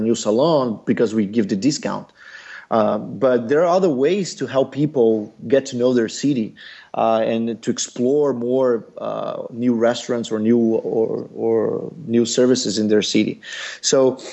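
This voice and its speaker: Brazilian man explaining in halting English. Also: mid-range voice, a little mellow. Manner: thoughtful, many pauses and fillers. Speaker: startup founder. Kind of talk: business interview.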